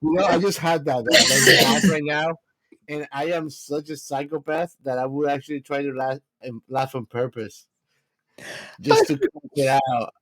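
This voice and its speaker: A man's voice: fairly high pitch, normal speed, heavy background noise. A man co-hosting a casual chat, talking in a slangy, buddy-like way full of "bro" and "man". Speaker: podcast co-host.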